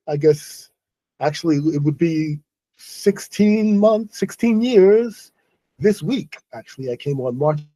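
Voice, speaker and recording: A middle-aged man from New City, speaking to his viewers in a halting, casual monologue and sounding weary after a stressful day. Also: a little high, mellow, no room sound, poor audio. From New York City. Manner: halting, many restarts and self-corrections. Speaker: online English host.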